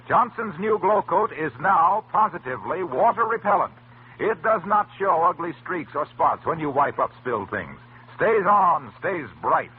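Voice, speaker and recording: A male American announcer reads a scripted vintage radio ad with emphatic polish. His fairly high voice is very animated, and the old recording sounds tinny. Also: a little hiss or hum. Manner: emphatic, polished sales delivery. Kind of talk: 1940s-1950s scripted radio advertising.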